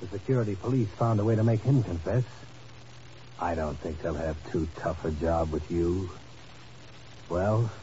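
An actor playing a cool, tough, commanding secret agent who speaks tersely and dryly in a 1950s radio drama. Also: male voice, low voice, moderate pace, pauses often, dark tone, lightly acted. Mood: cool, pressing. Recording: muffled, hissy old radio recording.

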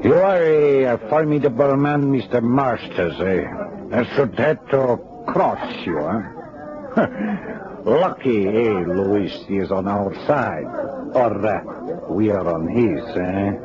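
An actor playing a swaggering, mockingly servile informant with a Mexican accent and a hesitant, insinuating manner in an old radio drama. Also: male voice, lowish voice, lively intonation, slow pace, muffled recording, crowd noise. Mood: mocking, oily. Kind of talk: 1970s radio drama acting.

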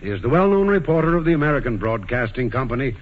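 A man's voice: mid-range voice, very animated, quick pace. A man reading scripted announcements as a formal 1940s radio announcer. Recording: tinny, narrow old radio broadcast.

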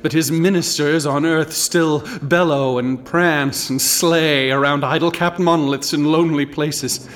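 An actor playing a doom-haunted scholar, delivering a solemn, portentous radio drama monologue. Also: male voice, fairly high pitch, steady tone, a little slow, few pauses, a bit bright. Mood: brooding dread. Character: doom-haunted scholar brooding on cosmic horror.

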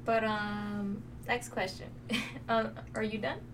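A woman's voice: medium pitch, level tone, slow pace. A woman from New York City chatting casually and informally as a podcast co-host. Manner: informal, colloquial. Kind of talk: casual conversational podcast chat. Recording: distant mic, faint background noise.

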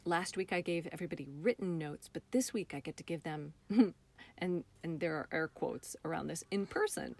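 A woman's voice: low voice, animated, normal speed, creaky, no room sound. A woman talking casually and expressively as an interview guest, a playwright and director describing things with enthusiasm. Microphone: computer call, also recorded on her phone.

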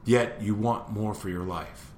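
A man's voice: fairly low pitch, fairly even intonation, a little slow, a little mellow, echoey room, slight background noise. A man hosting a live motivational show, preaching to his listeners and asking them the same question again and again. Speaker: show host.

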